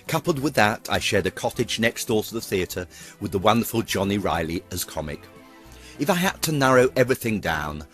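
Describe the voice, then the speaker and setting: A man's voice: lowish voice, a bit bright. A middle-aged British man giving a scripted, fond and theatrical account of a life on stage, with ornate phrasing. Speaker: pantomime performer narrating a career retrospective. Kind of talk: scripted autobiographical narration.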